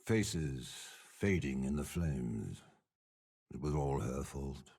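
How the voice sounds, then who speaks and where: An actor playing a commanding, menacing, scheming old warlord general in a video game, with a gravelly, husky voice and slow, disdainful delivery. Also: male voice, a bass voice, animated, darkish tone, heavily acted. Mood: cold, ominous. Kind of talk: dramatic game character voice lines.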